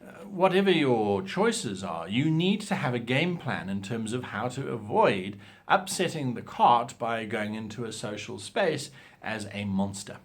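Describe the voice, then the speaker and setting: A man presents instructional advice as a show host, speaking straight to his viewers. His delivery is theatrical and emphatic, and his voice sits at a medium pitch for a man. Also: big pitch swings, unhurried. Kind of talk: instructional talk to viewers with acted example lines.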